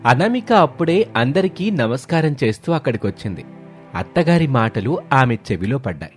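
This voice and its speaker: A man's voice: mid-range voice, very animated, normal speed, soft background music. A man narrating a scripted moral story.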